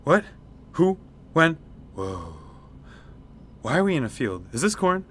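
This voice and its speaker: A man's voice: fairly high pitch, very animated, slow, a bit bright, slight background noise, exaggerated voice. A man playing an exasperated, skeptical young straight man in a comic radio play. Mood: baffled. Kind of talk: comic radio theatre acting.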